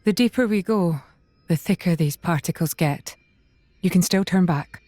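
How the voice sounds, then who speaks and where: A serious young fantasy companion, acted by a woman, with a slightly low voice. She sounds cautious and caring, over quiet music. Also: moderate pace, dry recording, natural voice. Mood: concerned.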